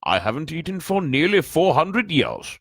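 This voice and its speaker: A man's voice: a little high, big pitch swings, normal speed, a bit bright, no echo, studio quality, voice pushed up, exaggerated voice. A man doing a stiff, genteel old ghost. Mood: wistful. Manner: stiff, polite.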